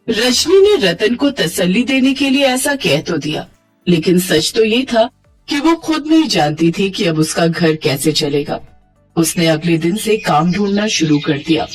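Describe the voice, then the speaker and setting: A woman's voice: medium pitch, slightly rough. A woman narrating a scripted moral bedtime story as a storyteller.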